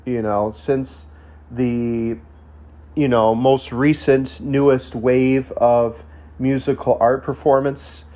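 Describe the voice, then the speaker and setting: A man's voice: mid-range voice, little pitch movement, slow, mellow. Guy with a stuffed up nose and a sore throat, rambly chat, kinda muffled recording. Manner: rambling, lots of fillers and restarts. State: stuffed up from a sinus infection; coughing, throat not fully recovered. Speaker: channel host. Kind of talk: casual solo video talk.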